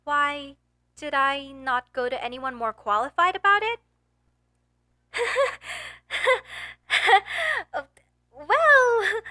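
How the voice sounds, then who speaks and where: Woman acting high, bright, flustered young elf mage girl. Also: exaggerated voice. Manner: formal, slightly stilted phrasing with nervous hesitations. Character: young female elf mage student, flustered, earnest, proud. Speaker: voice actor in audio roleplay. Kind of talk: comedic audio roleplay acting.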